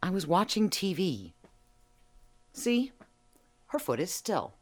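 A woman's voice: on the low side, big pitch swings, takes it slowly, full character voice. A cheeky evasive lady suspect in an audio play, teasing and manipulative, voice swings all over. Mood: defensive, indignant. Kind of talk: audio drama dialogue acting.

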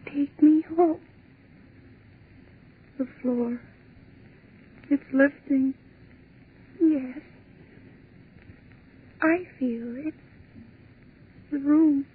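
An actress playing a frightened young woman trapped in a dark office, in 1940s radio acting with hushed, fearful talk that breaks into cries. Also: high voice, breathy edge, full character voice. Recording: tinny old radio broadcast.

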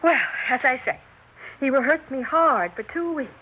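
A woman's voice: high-pitched, expressive, moderate pace, breathy edge. A woman playing a naive, eager, stage-struck young actress in an earnest, impressionable way, in a 1960s radio drama. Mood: earnest recounting. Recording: noisy, dull 1960s radio recording.

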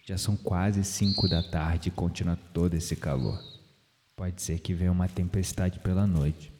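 An actor with a Brazilian accent playing a calm, playful, spiritually minded man in an audio drama. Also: low-pitched, normal speed, background noise, close to an everyday voice. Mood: calm, musing. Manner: gently playful, reflective, philosophical.

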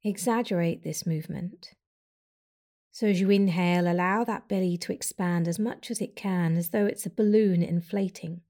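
A woman guiding a breathing meditation with slow, soft, soothing instructions and long pauses. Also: fairly low pitch. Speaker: meditation guide.

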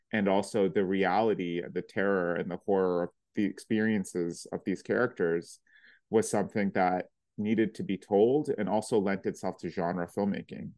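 A film director from Pakistan talks through his answers with a very low, deep voice. He restarts his sentences often, thinking aloud with plenty of fillers, and the sound is close and dry. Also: darkish tone, dry recording. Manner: reflective, many "like" fillers and restarts. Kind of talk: interview answers.

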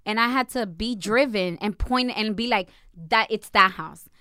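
A woman's voice: medium pitch, smooth, no echo, clean studio sound. A young woman from New Jersey, co-hosting a casual chat with her partner, protesting and interrupting with a lot of energy. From New Jersey, USA. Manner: animated, quick to interrupt and protest. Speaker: podcast co-host. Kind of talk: casual couple banter.

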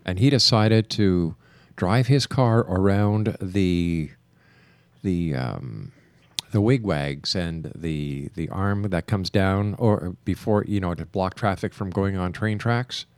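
A man hosting a radio talk show, speaking earnestly and measured, rushing through announcements before breaks. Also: deep voice, clean tone, dry recording. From Canada. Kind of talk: radio interview.

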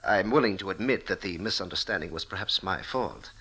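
An actor playing a nervous, courtly Italian count in a radio drama, speaking formally and haltingly. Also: male voice, sits low, lively intonation, pushed and bright, breathy edge, full character voice. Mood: earnest pleading. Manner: formal, hesitant, polite, halting. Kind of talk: 1940s radio drama acting.

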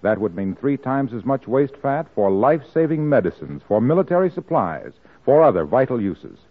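A tinny, narrow old radio recording of a male announcer in 1940s style. His voice is husky and very lively in pitch, pitching messages like an emphatic salesman. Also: normal speed.

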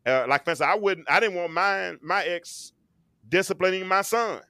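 A man hosting a casual talk show, animated and often repeating and restarting his phrases, with some bass in his voice. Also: normal speed, no echo, clean studio sound. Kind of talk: casual chat.

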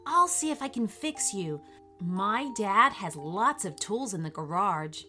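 A woman's voice: medium pitch, expressive, unhurried, sharp tone, soft background music. A woman voicing a kind, clever, anxious young boy in a children's story, with an eager, emphatic, childlike delivery. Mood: kind, curious.

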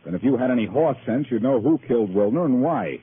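An actor playing a self-assured, wisecracking amateur detective in 1940s radio drama, with wry, unruffled banter. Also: male voice, medium pitch, expressive, fast talker, crowd noise, natural voice. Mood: cocky. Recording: noisy narrow-band old-time radio recording.

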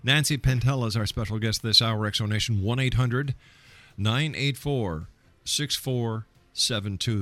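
A man's voice: lowish voice, a bit bright. A man hosting a radio talk show and putting questions to a guest. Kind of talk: radio interview.

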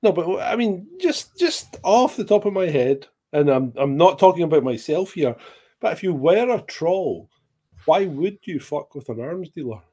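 Laid-back man with a husky voice. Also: on the high side, lively intonation, moderate pace, dark tone. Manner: swears casually and laughs often. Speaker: podcast co-host. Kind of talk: casual chat.

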